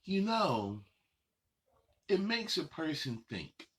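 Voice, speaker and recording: Husky man reading slowly to kids. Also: fairly high pitch, big pitch swings, a bit bright. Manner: drawn-out, playful phrasing addressed to kids. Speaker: host reading children's picture books aloud. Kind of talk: children's story time read-aloud with chatty asides.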